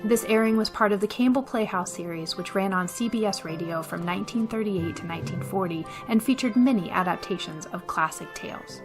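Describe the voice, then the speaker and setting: A woman's voice: medium pitch, brisk, few pauses. A woman giving scripted narration as host and storyteller of a radio theater show. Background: music.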